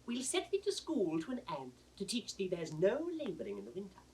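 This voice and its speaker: An actor playing a witty, loyal court fool, riddling and teasing in a singsong classical stage manner. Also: male voice, high voice, huge pitch swings, fairly quick. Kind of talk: classical Shakespearean stage acting. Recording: distant, hissy 1960s audio drama recording.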